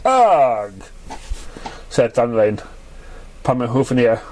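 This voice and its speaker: A man narrating scripted fiction as an amateur reader, halting and sometimes stumbling over his words. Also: medium pitch, a little mellow.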